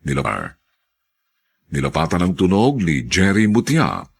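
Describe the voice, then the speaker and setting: A male radio drama announcer with a deep, gravelly voice. He reads in a formal, theatrical style with hugely swooping intonation. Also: huge pitch swings, measured pace. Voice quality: gravelly, husky.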